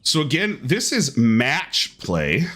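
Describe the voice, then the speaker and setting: Upbeat guy calling a golf game tourney live, voice bouncing all over, mid pitch. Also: slow pace. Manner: upbeat, lively play-by-play. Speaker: tournament host and commentator.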